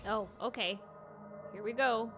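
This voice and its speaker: Woman streamer, high voice, friendly, casual chat, expressive reactions, clear game music behind. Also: unhurried. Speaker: live streamer, voice actor.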